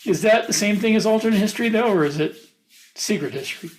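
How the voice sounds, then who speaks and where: A man co-hosting a podcast in casual conversation, often pausing mid-thought as he explains his ideas. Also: high-pitched, a little hiss or hum, rough recording. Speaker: podcast co-host. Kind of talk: casual panel chat about writing fiction.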